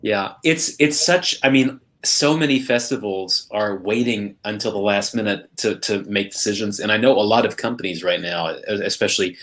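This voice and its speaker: A man hosting a casual group chat, speaking haltingly and often repeating and rephrasing his words. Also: on the low side, a bit bright. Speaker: host, opera maestro.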